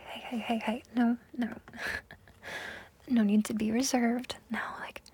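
A woman performing a whispered audio roleplay, with a breathy, pleading delivery that keeps repeating itself. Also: on the high side, darkish tone.

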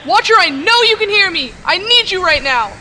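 A woman's voice: very high-pitched, fast talker, pushed and bright, a little hiss or hum, heavily acted. A woman voicing an urgent, bossy young female pony adventurer, cross and insistent, in audiobook dialogue. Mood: cross, impatient.

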